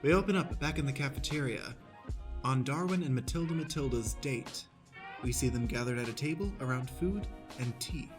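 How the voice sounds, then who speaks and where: A man's voice: mid-range voice, moderate pace, music in the background. A man narrating an improvised radio play and reading stage directions in a presentational, announcer-like manner.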